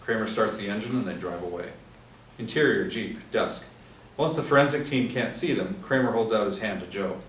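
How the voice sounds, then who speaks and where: A man's voice: lowish voice, brisk. A man reading out scripted screenplay action and stage directions as the narrator. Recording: echoey room, distant mic, faint noise.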